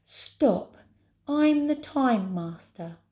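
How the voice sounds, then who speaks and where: A woman acts out a petulant, self-important stone tower in a storybook. It speaks imperiously and slowly, with a creaky, gravelly grain. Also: fairly low pitch, warm tone. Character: pompous petulant talking stone tower, self-proclaimed master of time.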